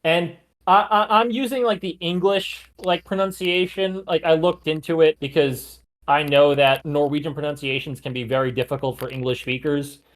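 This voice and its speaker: A man's voice: on the high side, fairly even intonation, moderate pace, hardly any pauses. A man hosting a podcast, casually walking his co-hosts through a story he researched, with plenty of loose "like" fillers. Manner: loose, chatty, frequent "like" fillers. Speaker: podcast host.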